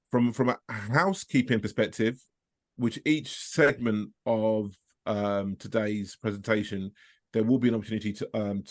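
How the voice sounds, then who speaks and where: A man hosting an online community event on a video call, introducing the session in a halting way and repeating words often. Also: lowish voice, no echo, clean studio sound. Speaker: host of a data community event.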